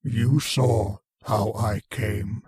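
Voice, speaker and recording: An actor playing an ancient, arrogant alien mind in an old-time radio horror play, rasping and declaiming with pompous contempt. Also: male voice, on the low side, steady tone, extremely slow, darkish tone, no room sound, studio quality, exaggerated voice. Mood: cold command. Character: ancient arrogant alien mind, a menacing meteor-borne invader.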